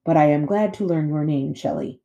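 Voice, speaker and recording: A woman voicing a gentle robot mother in a storybook, speaking politely and precisely in formal phrases. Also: low-pitched, normal speed, gravelly, lo-fi sound.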